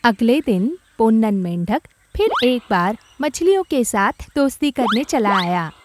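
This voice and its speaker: A woman's voice: on the high side, very animated, a little slow, over music. A woman narrating a scripted children's animal story.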